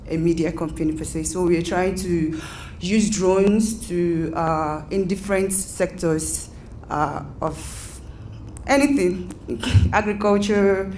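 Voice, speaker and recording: A woman's voice: fairly low pitch, a little mellow, slight background noise. A woman from Nigeria with a Nigerian accent, giving an academic conference presentation with many fillers and self-corrections.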